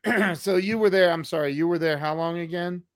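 A man's voice: high voice, a little fast. A man hosting a casual live interview, reacting with short, amazed interjections. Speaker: podcast host interviewing a guest.

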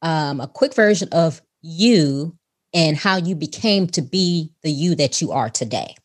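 A woman's voice: low-pitched, lively intonation, measured pace, dry recording, studio quality. A woman from Chicago, hosting a podcast, reading an upbeat intro and then chatting casually with her guest. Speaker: podcast host.

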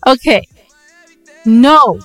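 A woman co-hosting a casual podcast, speaking in an emphatic, opinionated way and quick to interject. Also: a little high, lively intonation, fairly bright tone, no echo, soft background music. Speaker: podcast co-host. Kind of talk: casual podcast debate with co-host.

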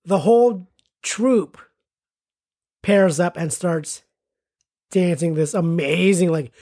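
Woman, low voice, chatty, lots of likes, casual film talk. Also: takes it slowly, mellow. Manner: chatty, searches for words with many likes.

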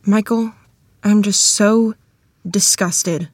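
A woman's voice: medium pitch, fairly even intonation, slow, a little mellow, slightly rough, no room sound, studio quality. A woman playing a strong, emphatic Midwestern wife in her thirties in a table-read drama. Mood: disgusted. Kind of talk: table-read screenplay acting.

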